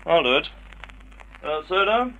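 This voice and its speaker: A man playing a jealous, menacing figure who hides behind smooth, sardonic sociability in a vintage radio drama. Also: on the high side, very animated, measured pace, phone-quality sound. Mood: falsely jovial. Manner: forceful, sardonic, falsely jovial.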